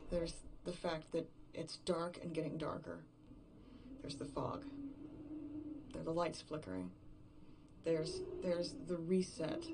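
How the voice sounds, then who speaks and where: A woman acting an exhausted, frightened survivor in a horror audio drama monologue, haltingly thinking aloud and repeating herself. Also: on the low side, background noise, close to an everyday voice. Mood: tense, weary. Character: exhausted, frightened woman game writer, alone after everyone vanished.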